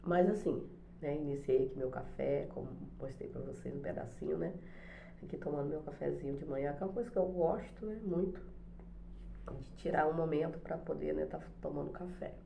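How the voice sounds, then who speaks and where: Middle-aged woman, low mellow voice, hoarse from a cold, hesitant home vlogger; echoey room, faint noise. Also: a little fast. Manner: soft, hesitant, many fillers. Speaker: channel host, special education teacher. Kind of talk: casual devotional vlog chat. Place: home, morning coffee.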